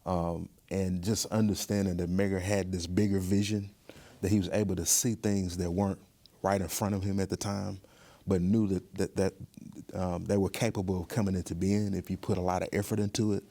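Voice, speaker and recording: A man with a low voice talks thoughtfully as a guest in an interview. His delivery is earnest and measured, with pauses as he picks his words. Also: little pitch movement, dark tone. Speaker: museum professional, podcast guest.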